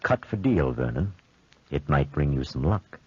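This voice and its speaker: Casting: eerie, calm conscience figure, extremely deep husky voice, measured delivery; vintage radio horror, muffled. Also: male voice, exaggerated voice. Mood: calm, courteous. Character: ominous, uncanny calm male double, watchful conscience. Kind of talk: 1940s radio horror acting. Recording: muffled 1940s radio broadcast recording.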